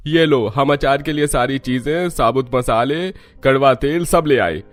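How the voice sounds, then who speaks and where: A food-loving man of a cartoon household, played by a male actor, speaking at a medium pitch. He is familiar and demanding, with music behind him. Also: unhurried, natural voice. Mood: eager. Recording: music bed under the voice.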